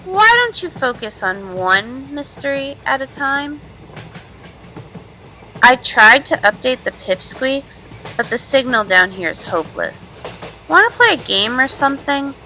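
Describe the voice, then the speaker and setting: Young cartoon princess pony, acted by a woman, bright forceful voice, animated intonation, wry breezy teasing. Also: high voice, a little slow, slight background noise, exaggerated voice, poor audio. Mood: bored, teasing.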